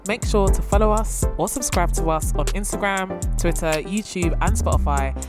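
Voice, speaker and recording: A woman reading a friendly, brisk scripted podcast outro. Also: mid-range voice. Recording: loud music under the voice.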